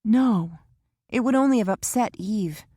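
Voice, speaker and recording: A woman voicing an anxious, devoted young woman who talks eagerly and protests quickly in an audiobook. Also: on the high side, lively intonation, warm tone, breathy edge, no room sound, clean studio sound, pitched above the performer's own voice.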